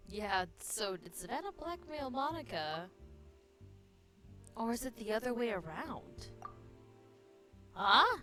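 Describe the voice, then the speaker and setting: A woman's voice: fairly high pitch, sing-song, slow, long pauses, bright, forceful tone, soft background music. A woman streamer giving casual live commentary on a video game and reading its text aloud.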